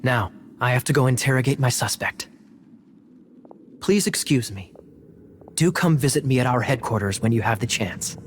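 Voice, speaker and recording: A man's voice: mid-range voice, animated, normal speed, pauses often, noisy background, close to an everyday voice. A man playing a courteous, slyly confident knight officer in a video game, with polished, formal delivery. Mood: suave. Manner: polished, formal, smooth-talking. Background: background music.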